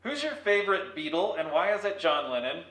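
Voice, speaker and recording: A man with a high, very animated voice hosts a playful interview. He is jokey and projects through a megaphone across an echoey room. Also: fairly quick. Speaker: host, museum staff.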